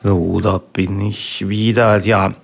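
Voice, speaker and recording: A man hosting a community radio show alone in a studio, rambling along in a halting way, restarting sentences and tagging nearly every phrase with a questioning "ne?". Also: low voice, level tone, creaky. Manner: rambling, halting, constant "ne?" tags, many restarts. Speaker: radio host. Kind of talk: rambling solo monologue. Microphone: studio mic.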